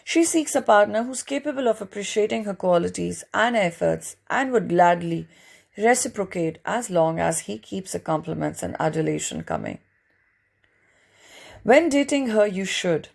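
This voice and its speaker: A woman's voice: medium pitch, vocal fry, a little mellow. A woman reading a book chapter aloud as scripted audiobook narration.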